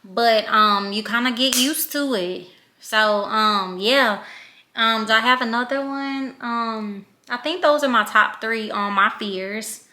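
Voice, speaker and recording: A woman's voice: fairly high pitch, slow pace, sharp tone. A woman in her thirties from Louisiana with a country Southern accent, chatting reflectively in a casual vlog with plenty of ums. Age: 30s. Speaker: vlogger.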